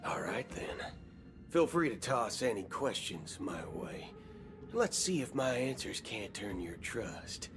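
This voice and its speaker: A drawling cocky cowboy gunslinger. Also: male voice, lowish voice, sing-song. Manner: folksy slang, slow drawling delivery. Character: swaggering male cowboy gunslinger, wry and cocky. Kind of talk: video game cutscene acting. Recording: game audio with background music.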